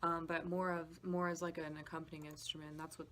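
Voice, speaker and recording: Low-pitched woman, casual walkthrough. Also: level tone, fairly quick, very noisy. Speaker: podcast composer. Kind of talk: casual behind-the-scenes walkthrough. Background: music demo clips playing.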